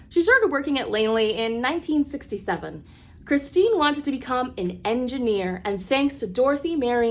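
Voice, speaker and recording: A woman reading a children's picture book aloud as a storyteller, expressively and with dramatic emphasis on key phrases. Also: on the high side. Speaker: read-along storyteller. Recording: clear background noise.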